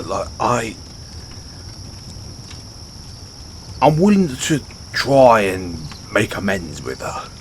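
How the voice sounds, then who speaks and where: Man acting as a orc barbarian, low-pitched, unhurried, bashful brawler, halting and sheepish, fantasy roleplay, night crickets behind. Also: a little hiss or hum. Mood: sheepish, apologetic. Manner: halting, fumbling speech with sheepish stammers. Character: gruff, good-hearted male orc barbarian, bashful loyal brawler. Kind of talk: fantasy audio roleplay, intimate one-sided dialogue.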